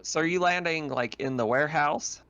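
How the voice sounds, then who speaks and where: A man's voice: fairly high pitch, fairly quick, fairly bright tone, no room sound, dull audio. A man acting as game master, narrating scenes and fielding questions in an improvised, conversational way. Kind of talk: narrating a tabletop roleplaying game.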